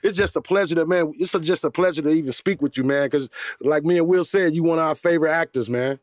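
A man's voice: fairly high pitch, fast, no echo, studio quality. A man from Chicago co-hosting a podcast, laid-back and casual, who often says "man.". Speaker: podcast co-host.

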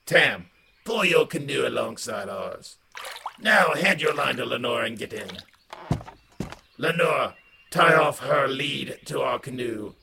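A cold, commanding master acted by a man with a creaky voice that swings widely in pitch. He speaks in formal, clipped orders over clearly audible water noise in an audio drama. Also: expressive, slow pace. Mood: stern, impatient. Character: commanding, cold male master leading a river party. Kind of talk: scripted sci-fi audio drama. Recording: clearly noisy track with trickling water.

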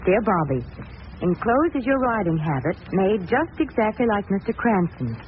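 A woman's voice: medium pitch, big pitch swings, normal speed, natural voice. A woman acting in a 1940s radio drama as a plucky, anxious companion to a mystery hero, earnest and emotional. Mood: composed. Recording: tinny, hissy old radio recording.

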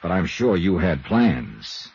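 An actor playing a hard-boiled, sardonic insurance investigator with a brisk, wry delivery in 1950s radio drama style. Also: male voice, low-pitched, very animated, gravelly, darkish tone, breathy edge, full character voice. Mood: accusing. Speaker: private investigator. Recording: dull, muffled 1950s radio recording.

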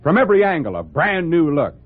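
A man's voice: fairly high pitch, very animated, a little fast. A man with an American accent and a scripted 1950s radio advertising delivery for a car commercial. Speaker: commercial announcer. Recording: dull vintage radio, music behind.

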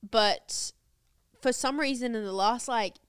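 A woman's voice: a little high, measured pace, clean tone. A congested woman guesting on a podcast, chatting candidly and rambling in a self-deprecating way. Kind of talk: casual chat.

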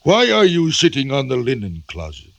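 Pompous husky old-radio general. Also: male voice, medium pitch, wildly swooping pitch, moderate pace, no echo, heavily acted. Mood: probing. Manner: pompous, theatrical. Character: domineering Russian general, stern suspicious father. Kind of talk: old-time radio drama acting.

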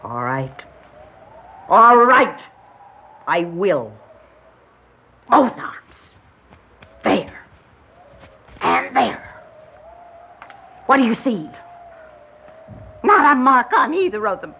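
A woman's voice: on the high side, very animated, very slow, pauses often, breathy edge, exaggerated voice. An actress playing a proud, grieving old grandmother in a 1960s American radio drama, speaking formally and emphatically. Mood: indignant. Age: older. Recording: dull vintage radio recording.

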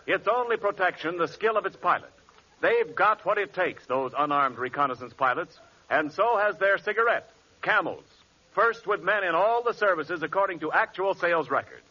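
Polished 1940s radio announcer. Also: male voice, high voice, expressive, normal speed, bright, forceful tone, slightly rough. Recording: dull vintage radio broadcast.

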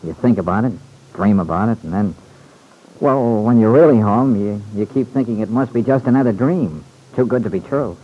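A man's voice: on the low side, normal speed, mellow, close to an everyday voice, rough recording. An actor playing an earnest, loyal young man home from war in an old-time radio serial. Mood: relieved, reflective. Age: young adult. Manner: earnest, colloquial, reflective.

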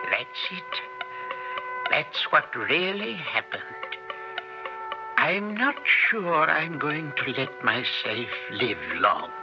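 An actor playing a grave, quietly menacing male research colleague in an old radio drama, speaking in a measured, husky way. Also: a little high, exaggerated voice. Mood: weary, confessional. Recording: dull old radio recording.

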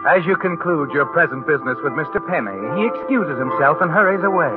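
An actor as the narrator of a 1940s-style radio drama, addressing the listener in a knowing, insinuating, dramatic way. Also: male voice, a little high, animated, fast talker, phone-quality sound, lightly acted. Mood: smooth, knowing. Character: insinuating male narrator, needling the schemer as his conscience. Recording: band-limited vintage radio recording over music. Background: music.

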